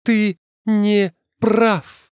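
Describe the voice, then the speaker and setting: A man voicing a timid, gentle, distressed girl in a story, halting and tearful. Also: high voice, fairly even intonation, dry recording, clean studio sound, pitched above the performer's own voice.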